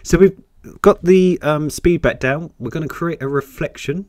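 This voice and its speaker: A man with a cold, croaky and deep, chatting through a step-by-step painting lesson with an encouraging manner. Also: moderate pace, darkish tone, no room sound. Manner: chatty, encouraging, thinks aloud while painting. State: hoarse with a cold. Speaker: painting teacher.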